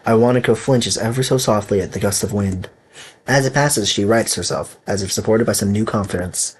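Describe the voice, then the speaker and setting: A young man with an Italian accent, reading a visual novel aloud on stream, stumbling over words and breaking into loud outbursts. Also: on the low side, fairly quick, husky.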